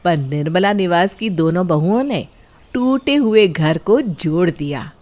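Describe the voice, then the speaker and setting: A woman's voice: fairly low pitch, animated, measured pace, a little mellow. A woman reading scripted narration for a story.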